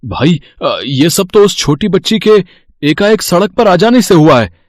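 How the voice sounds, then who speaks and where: A man's voice: high-pitched, animated, a little slow. A man playing a defensive, apologetic driver in a moral story, with a hesitant manner. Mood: defensive, uneasy.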